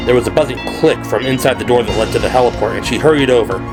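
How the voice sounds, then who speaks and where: A man's voice: medium pitch, very fast. A man reading dramatic scripted narration for an amateur audio drama. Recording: loud music under the voice.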